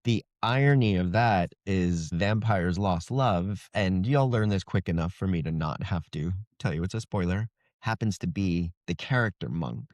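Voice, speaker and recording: A man's voice: sits low, lively intonation, normal speed, a little mellow, dry recording, clean studio sound. A man speaking as a fantasy author in a casual interview, easygoing and explanatory in his delivery.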